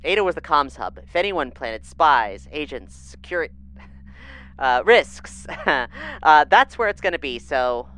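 A woman's voice: sits low, big pitch swings, unhurried, fairly bright tone, full character voice. A woman playing a harried, bossy moon base leader in an audio drama, blunt, informal and sarcastic. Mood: brisk, stressed.

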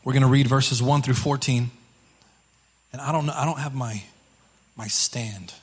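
Slightly hoarse male pastor, informal preaching. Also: medium pitch. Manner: informal, halting, repeats phrases. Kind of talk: sermon to a congregation. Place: church service.

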